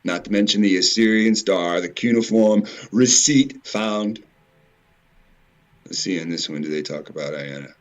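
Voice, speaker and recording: A man giving informal, rambling commentary in a halting way, repeating words and swearing mildly now and then. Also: sits low. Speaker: video presenter. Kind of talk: informal rambling commentary over on-screen images.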